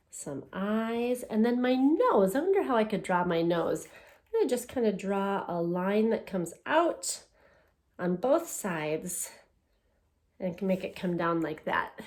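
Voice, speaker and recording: A woman speaks at an unhurried, slow pace in a mid-range voice. She sounds like an elementary teacher guiding children through a story and art activity, with gentle encouragement and short, simple phrasing. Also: medium pitch. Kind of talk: children's read-aloud and art lesson.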